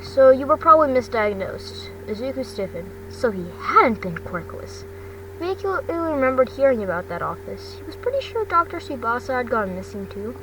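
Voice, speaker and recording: Female amateur story reader, higher-pitched, stumbling delivery, recorded off-mic with noticeable noise. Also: sing-song. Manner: halting reading, stumbles over words. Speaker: amateur fan fiction reader. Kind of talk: scripted narration, reading a story aloud. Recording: distant mic with loud rumbling noise.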